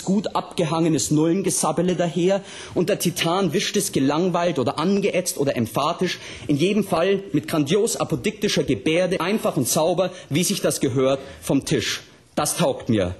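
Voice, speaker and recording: A man as a high-pitched, ranting southern German punk author. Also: natural voice. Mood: provocative. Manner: vehement, colloquial, declamatory. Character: ranting, tormented, provocative male punk author reading aloud. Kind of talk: literary monologue in a radio drama.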